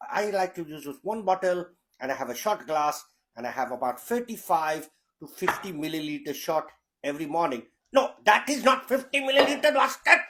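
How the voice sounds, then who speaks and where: A man with a broad Yorkshire accent talks through a recipe in his home kitchen, cursing constantly and cracking blunt jokes. His voice sits high for a man. Faint music plays underneath. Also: a bit bright. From Yorkshire, England. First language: Indian heritage. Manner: crude, swearing, jokey and blunt. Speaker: cooking show host. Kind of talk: sweary comic recipe demo.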